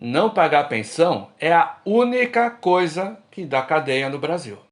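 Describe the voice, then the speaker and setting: A man plays a sardonic old pal in a Brazilian radio drama. His voice sits fairly high, and he talks in a teasing way. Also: very animated, a little slow.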